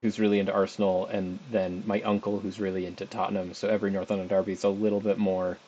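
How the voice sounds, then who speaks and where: A man's voice: low-pitched, flat delivery, fast, dark tone. A young man streaming a football management game, chatting casually and rambling as he talks to the players on screen. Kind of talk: casual live game commentary. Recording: slightly dull stream audio with background music.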